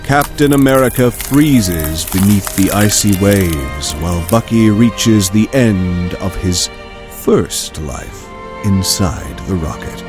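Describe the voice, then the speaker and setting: An actor serving as the dramatic storyteller of a scripted superhero audio drama. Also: male voice, sits low, lively intonation, takes it slowly, over music. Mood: mournful. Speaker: narrator.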